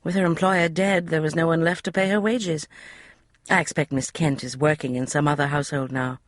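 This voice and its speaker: Lady doing a calm genteel young woman, polite and a bit dry, dry sound. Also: low-pitched, a little fast, no room sound, voice pushed up, close to an everyday voice. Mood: composed.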